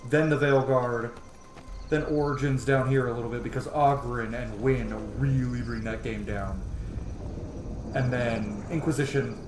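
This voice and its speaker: A man's voice: medium pitch, fairly even intonation, unhurried. A man streaming a video game, giving casual, rambling, opinionated let's-play commentary in a conversational way. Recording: echoey room with noise behind.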